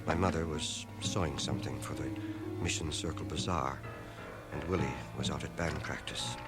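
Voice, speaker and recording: An actor playing a grave, reflective man who narrates his boyhood memories in a measured, literate, dramatic style. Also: rumbling low, vocal fry. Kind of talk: scripted radio drama narration. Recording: old radio drama from cassette, music underneath.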